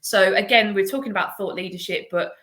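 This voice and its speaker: A woman's voice: mid-range voice, brisk, fairly bright tone, roomy sound. A woman with a Birmingham accent hosting a live video interview, chatty, laughing easily and using many fillers. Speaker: host, agency director. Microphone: video call.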